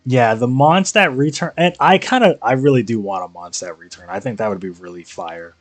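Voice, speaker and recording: A man's voice: medium pitch, expressive, a little fast, no room sound. A young man chats casually as a game streamer. He rambles in slang, close to the mic with very little room sound. Faint music plays behind him. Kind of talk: casual livestream commentary.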